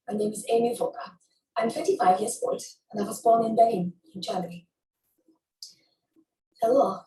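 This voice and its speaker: Actress in her 20s, quick accent showcase, slightly high voice, roomy and a bit far from the mic. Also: darkish tone. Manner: switches between many English accents, playful. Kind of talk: rapid accent demonstration clip. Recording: roomy sound from a distance.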